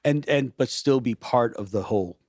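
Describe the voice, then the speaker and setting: A man talking in a relaxed podcast conversation, his voice sitting at a middle pitch. He grows animated as he goes, restarting sentences and leaning on "you know". The sound is dry and close. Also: normal speed, dry recording. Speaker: pastor and worship leader, guest.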